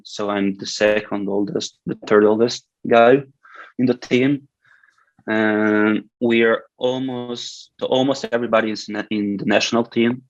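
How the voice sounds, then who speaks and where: A man in his twenties, a swimmer from Switzerland whose first language is Italian, speaking with a Swiss Italian accent in a halting way, with many restarts, on a video call. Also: fairly low pitch, dry recording. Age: 20s. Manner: hesitant, many restarts and fillers. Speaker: guest swimmer. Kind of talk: casual interview. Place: indoor room with curtains. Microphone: video call, laptop camera. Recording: dull lo-fi video call audio.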